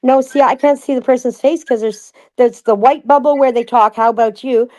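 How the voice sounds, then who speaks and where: A woman in a casual livestream discussion, thinking aloud with many restarts and reading passages out slowly. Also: fairly high pitch, steady tone, a little mellow.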